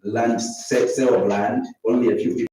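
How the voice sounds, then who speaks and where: Zambian man giving a statement, slightly dark voice, super echoey room, off mic. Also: mid-range voice, little pitch movement, a little fast. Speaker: civil society officer. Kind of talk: unscripted spoken statement. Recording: very echoey, distant microphone.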